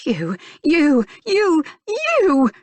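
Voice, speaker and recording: A woman's voice: mid-range voice, very animated, moderate pace, no echo, pitched above the performer's own voice, natural voice. A woman voicing a prim, straight-laced young lady who grows indignant and furious. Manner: indignant, rising to anger.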